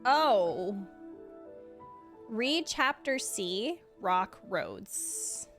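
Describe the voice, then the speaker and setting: A woman's voice: medium pitch, sing-song, extremely slow. A woman game streamer giving casual live commentary as she plays and reads along. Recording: soft game music behind.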